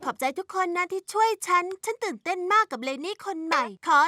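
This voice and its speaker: A woman's voice: very high-pitched, animated, a little fast, pushed and bright, heavily acted. A woman voicing a sweet, naive and easygoing teenage girl in a Thai cartoon dub, airy and agreeable. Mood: eager. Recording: music behind the voice.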